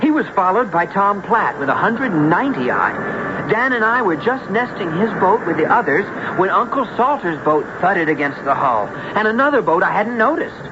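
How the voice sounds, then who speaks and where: A man's voice: sits high, big pitch swings, moderate pace. An actor playing an earnest, naive boy new to life at sea, in old-time radio drama style. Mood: recounting. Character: Harvey, earnest, green rich boy lost at sea. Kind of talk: old-time radio drama acting with first-person narration. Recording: muffled, noisy vintage radio recording.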